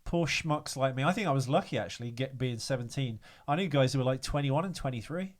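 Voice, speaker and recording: A man's voice: mid-range voice, fairly quick. A young man co-hosting a podcast in casual, candid chat with crude humor and plenty of fillers. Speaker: podcast co-host.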